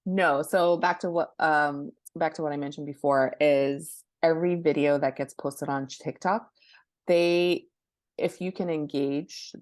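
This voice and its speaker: A woman with a notably low voice talks as an expert guest in an interview. Her explanations are conversational, and the sound is dry with very little room in it. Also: steady tone, a little slow, darkish tone, no echo. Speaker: guest book marketing expert.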